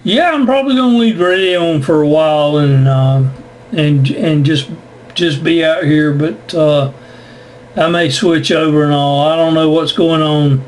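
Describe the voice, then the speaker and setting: Slow-talking man on ham radio. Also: a little high, rough recording. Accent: American. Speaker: amateur radio operator. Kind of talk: casual on-air radio chat. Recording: ham radio contact with faint noise.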